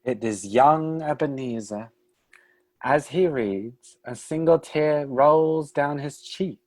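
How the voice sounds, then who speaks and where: A man's voice: on the high side, slow pace, a little mellow, no room sound. A man narrating a radio play, with indignant, exasperated asides.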